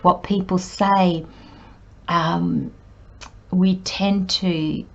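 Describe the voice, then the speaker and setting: Woman tarot reader, croaky voice, lowish pitch, slow and meandering, dull lo-fi recording. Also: darkish tone. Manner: unhurried, meandering with many pauses and fillers. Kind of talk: spoken tarot reading monologue.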